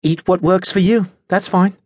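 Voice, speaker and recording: A man voicing a bright, oblivious young woman in an audiobook story, chatty, precise and matter-of-fact. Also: fairly high pitch, lively intonation, normal speed, dry recording, studio quality, pitched above the performer's own voice. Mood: earnest, mild. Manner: cheerful, precise, lecturing, unruffled.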